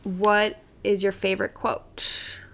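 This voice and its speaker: A woman's voice: mid-range voice, completely flat delivery, slow, gravelly, fairly bright tone. A woman chatting casually as co-host of a TV recap podcast. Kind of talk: casual chat.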